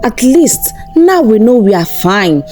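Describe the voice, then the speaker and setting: A woman voicing an anguished, vulnerable young wife in a folktale, pleading and halting. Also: a little high, big pitch swings, darkish tone, soft background music. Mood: relieved.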